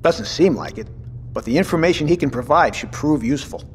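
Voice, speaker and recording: Audio drama captain male actor: middle-aged, courteous leader, mid-pitched with lively intonation, long room reverb. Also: close to an everyday voice. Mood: matter-of-fact. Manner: measured, thoughtful. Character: measured, courteous male captain and father leading a settlement. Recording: reverberant room with faint noise.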